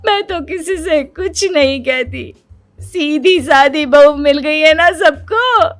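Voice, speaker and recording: A woman's voice: sits high, slow, soft background music, pitched above the performer's own voice. A woman voicing a boastful, self-pitying young daughter-in-law in a story, complaining dramatically. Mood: wailing self-pity.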